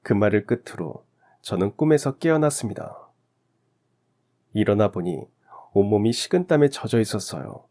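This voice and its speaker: Mellow man narrating a radio story. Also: on the low side, sing-song, moderate pace, pauses often. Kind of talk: scripted first-person narration for sentimental radio story.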